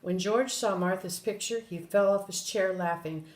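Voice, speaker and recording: An older woman reading a children's story aloud in an expressive way, with brief asides. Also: medium pitch, moderate pace. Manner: expressive, animated. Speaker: storybook reader. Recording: roomy echo.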